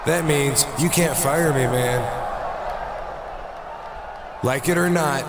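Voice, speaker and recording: A man's voice: fairly high pitch, takes it slowly. A man playing a cocky, defiant wrestler making declamatory boasts in a video game promo. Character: cocky, defiant male wrestling champion-to-be, proud and unrepentant. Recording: noisy arena with loud crowd.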